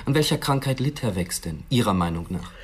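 Brisk, husky police inspector. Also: male voice, lowish voice, lively intonation. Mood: insistent, probing. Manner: formal, insistent questioning. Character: probing police detective inspector, businesslike and insistent. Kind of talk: German radio drama acting.

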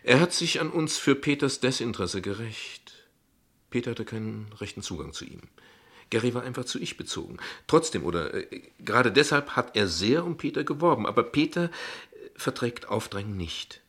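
An actor playing a guarded, ironic father in a radio play, speaking in measured, eloquent, formal sentences. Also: medium pitch, lively intonation, a bit bright, husky. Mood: ironic, defensive. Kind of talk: radio play dialogue acting.

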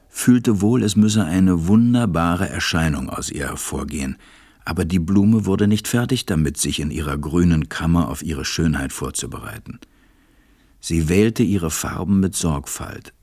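An actor playing a gentle, reflective storyteller, narrating a storybook radio play. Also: male voice, low voice, no echo, studio quality. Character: reflective adult male narrator recalling a little friend.

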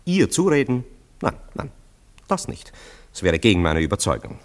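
A man's voice: lowish voice, very animated, normal speed. An actor playing a dry, skeptical man of the world in a German radio drama, wry and teasing, in a reverberant room. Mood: dry, detached.

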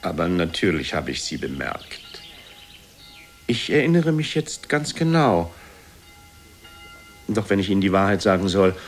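An actor playing a vain poet reproving his mother with measured formality, in radio play style. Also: lowish voice. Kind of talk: German radio play acting.

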